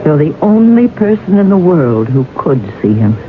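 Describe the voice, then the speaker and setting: A woman's voice: deep voice, sing-song, normal speed. An actress playing a secretive, cursed woman giving grave warnings in a radio drama. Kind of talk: 1970s radio drama acting. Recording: muffled, noisy old radio recording.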